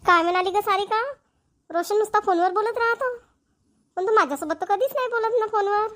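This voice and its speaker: A woman performs an excitable young cartoon woman. She chats in a familiar, exclamatory village style, like a close sister in a homely comedy. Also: very high voice, a little fast. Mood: puzzled, complaining. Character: chatty, excitable young Marathi women, two close sisters. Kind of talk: Marathi cartoon comedy dialogue.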